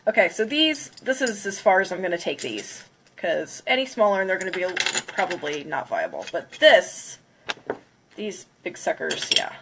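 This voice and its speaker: A woman's voice: on the low side, sing-song, normal speed, background noise. A congested woman chatting casually on a livestream, counting down before smashing plates. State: congested from a cold. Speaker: craft livestreamer.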